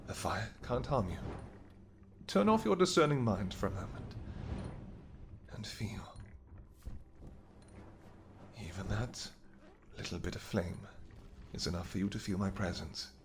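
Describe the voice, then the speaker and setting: A man playing a devoted vampire king in an intimate audio roleplay, speaking earnestly and gently in halting, formal, old-fashioned words. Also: lowish voice, animated, a little hiss or hum. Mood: tender, reassuring. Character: devoted vampire king, tender, anxious, apologetic husband.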